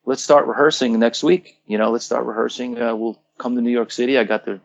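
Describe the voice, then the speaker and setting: A man's voice: medium pitch, a little fast, creaky, highs cut off. A man from Croatia, a rock singer in his fifties, telling stories from his youth in a casual interview and acting out what people said. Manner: animated storyteller, swearing, acting out quotes.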